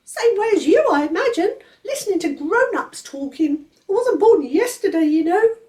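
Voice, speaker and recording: A woman's voice: extremely high pitch, lively intonation, normal speed, mellow, recorded from a distance, voice pushed up. A woman voicing a stern, precocious talking baby boy who lectures his sister. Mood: bossy. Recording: roomy echo.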